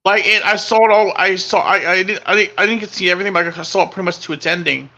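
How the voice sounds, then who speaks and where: Rambling excitable man in his thirties. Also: sits high, fast talker. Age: 30s. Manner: rambling, self-correcting, excitable. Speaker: co-host. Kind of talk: casual chat.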